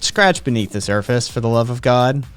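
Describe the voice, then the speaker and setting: A man's voice: mid-range voice, lively intonation, moderate pace, clear, resonant tone, faint music behind. A man hosting a live-streamed talk show, speaking casually with many hesitations and answering viewers' comments. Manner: hesitant, many "um"s, reads chat aloud. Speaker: podcast host. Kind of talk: casual chat.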